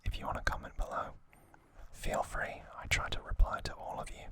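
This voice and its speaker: A man hosting ASMR in a breathy, almost entirely unvoiced whisper, speaking gently and unhurriedly to his viewers. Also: a little fast. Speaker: ASMR host.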